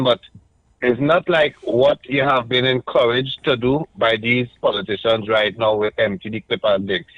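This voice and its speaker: A man's voice: medium pitch, dry recording. A man with a Trinidadian accent calling in to a radio talk show, arguing his point step by step and often checking in with "right?". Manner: measured, argues point by point with frequent "right?". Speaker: radio caller. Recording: muffled dry call-in line.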